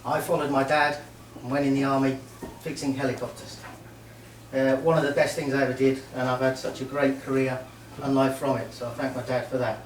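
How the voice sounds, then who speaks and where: A man's voice: mid-range voice, little pitch movement. Best man, middle-aged, from Merseyside; fond, joking, lots of ums; distant mic, reverberant hall, light hiss. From Merseyside, England. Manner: warm, joking, halting with many ums. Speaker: best man giving a wedding speech. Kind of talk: wedding speech. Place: wedding reception room. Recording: roomy hall, mic far off, faint noise.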